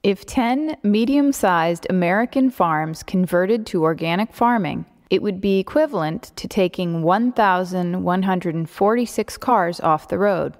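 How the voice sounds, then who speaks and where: A woman's voice: lowish voice, animated, unhurried, few pauses. A woman reading a scripted explanatory narration. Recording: long hollow reverb.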